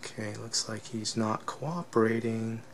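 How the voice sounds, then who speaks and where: Male product reviewer, lowish register with heavy fry, informal hands-on technical explanation, slight noise behind the voice. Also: steady tone, unhurried. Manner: explanatory, often checks with "right?". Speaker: product reviewer demonstrating flashlights. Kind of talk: casual unscripted technical demonstration.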